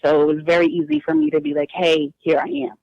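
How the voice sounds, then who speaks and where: A woman guest telling her adoption story in a personal interview, hesitant and halting, with frequent restarts. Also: low-pitched, flat delivery, no room sound. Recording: dull lo-fi dry recording.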